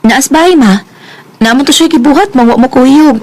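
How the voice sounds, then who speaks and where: An actress with a Bisaya Filipino accent playing a trusting young wife troubled by suspicion, in a radio drama. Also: female voice, sits high, moderate pace, a little mellow, natural voice. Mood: casual, tired. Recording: noisy radio drama track, ambient sound.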